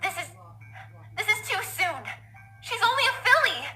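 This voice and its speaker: A woman's voice: very high voice, big pitch swings, normal speed, pushed and bright, heavily acted. A woman voicing an anxious pony mother in a fan audio drama, stammering and pleading emotionally. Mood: frightened pleading. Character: anxious pony mother and her sulky young filly daughter. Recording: roomy sound with music underneath.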